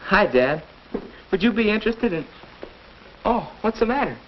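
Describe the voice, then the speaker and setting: An actor playing a polite, studious American teenage son, earnestly explaining things in a 1940s instructional film. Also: male voice, high-pitched, sing-song, normal speed, slight background noise. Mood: concerned, polite. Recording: muffled 1940s film soundtrack.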